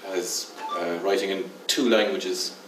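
A man's voice: deep voice, fairly even intonation, unhurried, a bit bright, a little hiss or hum. An older man moderating a poetry panel, stumbling over and restarting his questions. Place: stage in a dim theatre hall. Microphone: far camera. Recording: echoey hall from far off.

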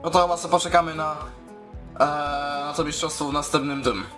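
High-voiced man gaming, music behind. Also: steady tone, fairly quick. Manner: exclaims and mutters while playing. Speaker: gaming video host. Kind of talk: casual gameplay commentary.

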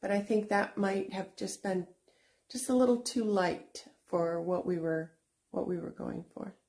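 A middle-aged woman talks slowly and chattily to her followers. She fills her sentences with little pauses and restarts. Her voice sits at an ordinary mid pitch, and she is recorded on a phone inside a small shop. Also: steady tone, a little mellow. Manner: chatty, unhurried, with frequent fillers and restarts. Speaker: antique shop owner and channel host. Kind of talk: casual vlog walkthrough. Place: indoor antique shop booth.

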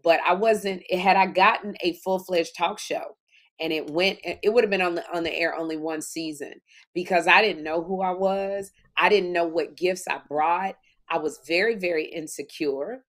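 A woman in her fifties, co-hosting a podcast, with a deep voice, telling stories animatedly and acting out what people say. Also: moderate pace. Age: 50s. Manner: animated storytelling, acts out quotes. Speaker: podcast co-host. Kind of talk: casual faith-filled chat.

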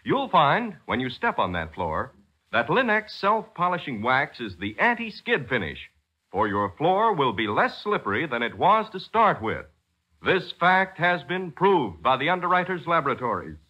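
A man working as a 1940s radio announcer, reading a script with emphatic, salesmanlike delivery and a husky voice. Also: fairly high pitch, very animated, moderate pace, pushed and bright. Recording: dull, lo-fi 1940s radio recording.

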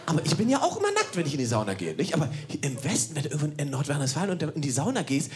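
A man in his thirties from East Germany doing a sarcastic, ranting stand-up set on a handheld mic in a small cellar club. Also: mid-range voice. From Saxony-Anhalt, East Germany. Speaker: stand-up comedian. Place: small cellar comedy club with live audience. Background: audience laughter.

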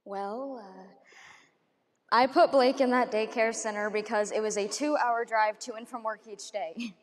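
A woman performs a bereaved young mother in a stage monologue. Her fairly high voice is tender and halting, with pauses as she remembers her little boy. It carries through a reverberant hall. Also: fairly even intonation, lightly acted. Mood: subdued reminiscing. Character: grieving young mother fondly remembering her lost baby son. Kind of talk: competitive dramatic interpretation monologue. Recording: echoey hall, recorded at a distance.

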